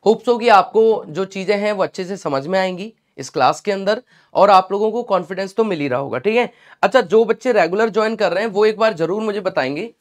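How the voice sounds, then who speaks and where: A young man with a sore throat teaching a live online class, chatty and encouraging, mixing English phrases into his talk. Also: sits high. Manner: chatty and encouraging, mixes English phrases, addresses students as beta. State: sore throat, clearing it often. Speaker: online exam-prep teacher.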